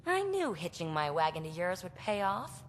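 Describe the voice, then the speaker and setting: An actress playing an eager, loyal explorer crewmate in a video game, with an informal, enthusiastic way of talking. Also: female voice, fairly low pitch, very animated, moderate pace. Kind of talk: video game cutscene dialogue. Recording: echoey hall, background ambience noise.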